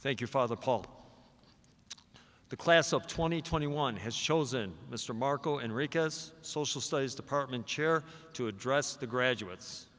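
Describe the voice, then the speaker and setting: A man introducing the next speaker at a ceremony in a brisk, formal way. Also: medium pitch, a bit bright. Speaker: ceremony emcee. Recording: distant voice in a reverberant hall.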